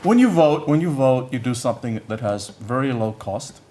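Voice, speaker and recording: Halting older male professor lecturing. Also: medium pitch, animated, warm tone. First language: Yiddish. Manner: halting, searches for words, repeats "I, I". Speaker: economics professor giving a lecture. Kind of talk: conference lecture.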